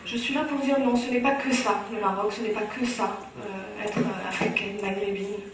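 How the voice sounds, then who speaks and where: A woman's voice: mid-range voice, fairly even intonation, normal speed, a crowd in the background. A Moroccan woman on stage delivering a rhythmic, impassioned spoken-word slam in a large hall. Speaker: speaker. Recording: very echoey hall, from far off.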